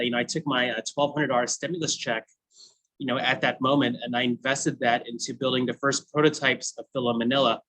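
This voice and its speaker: A man's voice: medium pitch, fairly bright tone, rough recording. A man in his thirties, a food brand founder, telling his story to a class in a chatty way with frequent laughs and fillers. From United States. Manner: chatty, laughs often, many fillers like "you know". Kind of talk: casual storytelling talk.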